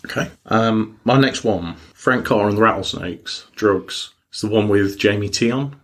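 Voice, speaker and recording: A man's voice: fairly low pitch, normal speed. A young man co-hosting a podcast, chatting in an easygoing way, often hesitating and restarting his sentences. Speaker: podcast co-host. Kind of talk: casual chat.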